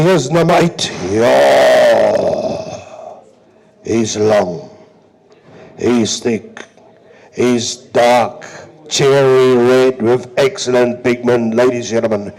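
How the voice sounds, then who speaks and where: A man's voice: medium pitch, slow, vocal fry, a little mellow, poor audio. A South African man working as a livestock auctioneer, with rhythmic, repetitive chant and showy, emphatic sales patter.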